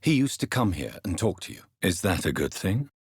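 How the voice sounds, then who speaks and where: Deep husky english gentleman doctor from a video game, polite, grave, kinda probing. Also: very animated, no room sound, studio quality, exaggerated voice. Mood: grave, inquiring. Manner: formal, polite, measured, questioning. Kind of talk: video game character dialogue.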